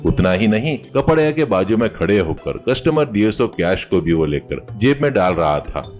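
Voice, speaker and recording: A man's voice: fairly low pitch, very animated, moderate pace, a little mellow, soft background music. A man narrating a scripted comic moral story as a storyteller.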